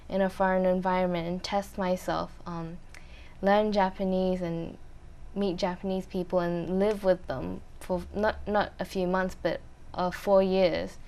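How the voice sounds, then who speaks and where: A young woman answering interview questions in a thoughtful, measured way with frequent pauses. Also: fairly low pitch, a little mellow. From Australia. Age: young adult. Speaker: interview guest, university student.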